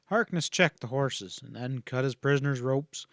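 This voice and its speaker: A man narrating a western audiobook with a steady, even delivery at a middling pitch. The sound is dry and close, with no room around it. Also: moderate pace, gravelly, dry recording. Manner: steady, even storytelling read. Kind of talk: scripted narration.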